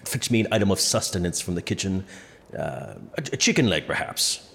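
An actor playing a pompous, vain and gluttonous medieval monarch, imperious in an audio drama. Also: male voice, sits low, lively intonation, brisk, gravelly, a bit bright, exaggerated voice. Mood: dismissive, imperious. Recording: long hall reverb, faint ambient noise.

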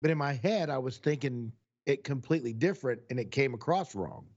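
A man's voice: medium pitch, animated, fairly quick, a little mellow. A man co-hosting a podcast in casual chat, talking earnestly and offering advice to listeners. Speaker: podcast co-host. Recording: slightly dull, lo-fi sound.

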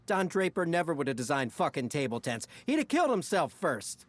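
Comedic audio drama: cynical, melodramatic office best friend, foul-mouthed and needling, very animated intonation. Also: male voice, fairly high pitch, fairly quick. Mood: exasperated, melodramatic. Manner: sardonic, melodramatic, profane.

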